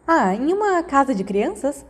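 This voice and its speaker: A woman's voice: sits high, very animated, moderate pace, pitched above the performer's own voice. A woman voicing a curious, forthright teenage schoolgirl in a storybook reading. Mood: surprised, curious.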